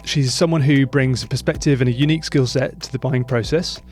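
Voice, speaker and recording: A man's voice: mid-range voice, fairly even intonation, fairly quick, a little mellow, soft background music. A middle-aged man hosting an interview podcast, talking in a friendly, loose way with many fillers. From England. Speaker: podcast host, mortgage broker.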